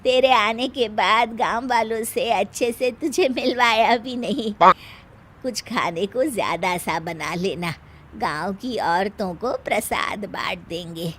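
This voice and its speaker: A woman's voice: mid-range voice, slow pace, fairly bright tone, breathy edge. A woman voicing a kindly middle-aged mother-in-law in a cartoon story, affectionate and coaxing.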